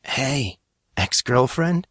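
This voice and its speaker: A playful young man, acted by a male narrator in a story, pitched up from the narration. He talks in a casual, teasing way with a tender streak, in a dry recording. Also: animated, drawn-out words, fairly bright tone, no room sound, exaggerated voice. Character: joking, tender young man comforting a girl.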